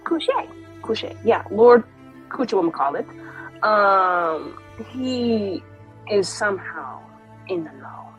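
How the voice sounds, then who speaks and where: A woman chatting in character during a tabletop roleplaying game, animated, acting out the lines of the people she quotes. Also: medium pitch, takes it slowly, pauses often, lo-fi sound, soft background music. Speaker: player. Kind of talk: casual in-character roleplay chat.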